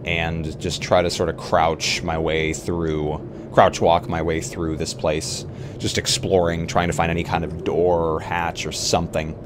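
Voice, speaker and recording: A man with a very deep voice talks through his moves in a tabletop game. He is casual and halting, working it out as he goes. Noise is clearly audible behind him. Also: little pitch movement. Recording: live stream with clear background noise.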